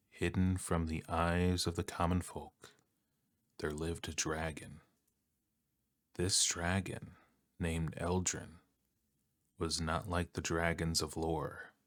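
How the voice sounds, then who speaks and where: A soft-spoken man with a deep voice narrating an ASMR bedtime story in a calm, soothing manner with long pauses.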